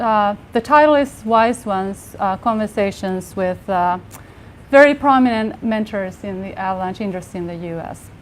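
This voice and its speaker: A soft-voiced woman in her forties giving a live workshop talk, earnest, with many fillers and restarts. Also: mid-range voice, low-quality recording. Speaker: workshop speaker. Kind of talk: conference talk. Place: live workshop room with audience.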